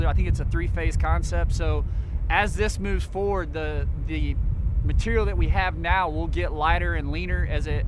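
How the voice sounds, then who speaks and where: High-voiced military man, noisy outdoor recording. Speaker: military air traffic control senior sergeant. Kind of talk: unscripted explanatory briefing to camera. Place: outdoors on an air base.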